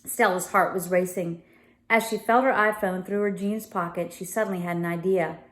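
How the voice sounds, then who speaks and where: A woman reading a story aloud like a teacher, expressively, with casual asides and self-corrections. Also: lowish voice. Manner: expressive, pausing to correct and comment. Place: home sunroom. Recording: roomy indoor echo.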